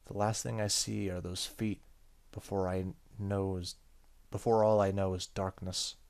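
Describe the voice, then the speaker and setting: A man's voice: low-pitched, flat delivery, a little slow, gravelly, a little mellow. A man playing a horror game, reading its story text aloud dramatically and commenting casually. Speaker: gaming YouTuber. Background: game music.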